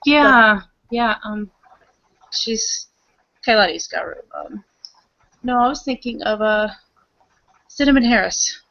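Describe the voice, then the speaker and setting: A woman's voice: a little high, slow, pauses often, sharp tone, no room sound. A woman talking casually as a player in a tabletop roleplaying session. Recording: dull, close lo-fi audio.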